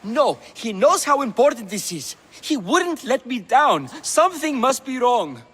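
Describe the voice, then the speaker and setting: An actor playing a self-important, fretful Greek playwright in a video game, with a theatrical, fussy delivery. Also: male voice, very high voice, big pitch swings, measured pace, a bit bright, husky, big-room sound, full character voice. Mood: fretting, alarmed.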